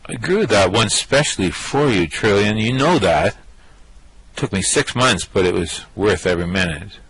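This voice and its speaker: Guy playing cocky excitable space rogue, radio drama, low slightly rough voice, slangy. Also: normal speed, no room sound, heavily acted, poor audio. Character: brash, vain, excitable male space rogue. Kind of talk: amateur radio play acting.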